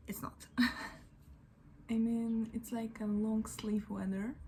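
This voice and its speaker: A young woman talks slowly and softly, half-whispering as she rambles. She is not a native English speaker. Her voice is mellow, with a faint hiss in the background. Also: a little high, level tone. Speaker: beauty and book vlogger. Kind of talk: get-ready-with-me chat. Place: at home in front of a mirror.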